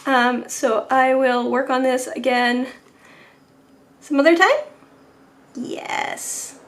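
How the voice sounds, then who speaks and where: A woman's voice: high voice, slow pace. A woman hosting a live watercolour painting stream, chatting with viewers in a rambling, laughing way. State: sore neck.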